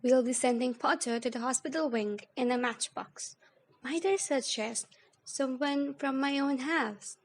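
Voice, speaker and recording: A woman in an audio drama playing a cold, sly male wizard-school professor, measured, formal and disdainful. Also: high-pitched. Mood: dry disdain.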